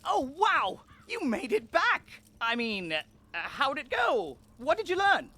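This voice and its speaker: A woman voicing an eager, worried young guide in a fantasy video game, expressive and earnest. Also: high-pitched, slow pace, full character voice. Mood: excited, surprised. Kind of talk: video game character acting.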